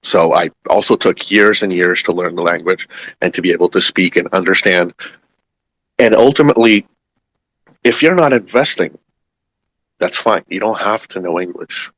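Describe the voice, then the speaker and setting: Low-voiced man, native Portuguese speaker. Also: fairly bright tone, poor audio. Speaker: investor, discussion participant. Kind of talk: casual opinion in a group discussion. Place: live online audio room.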